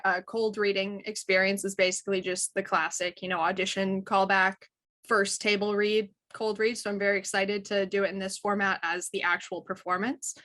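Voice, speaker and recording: Bright-voiced woman actor. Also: medium pitch. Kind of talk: casual self-introduction.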